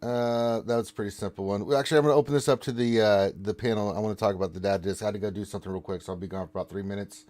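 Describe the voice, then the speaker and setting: A man hosting a live Q&A, briskly reading out viewer questions and moving the panel along. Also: on the low side, fairly even intonation, darkish tone, no echo. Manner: brisk, reads questions aloud. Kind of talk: live Q&A moderation.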